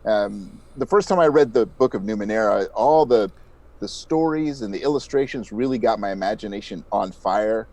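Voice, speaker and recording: A man's voice: fairly low pitch, normal speed, a little mellow. Middle-aged man explaining in an interview. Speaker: interview guest, voice actor and audiobook narrator. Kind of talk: conversational interview.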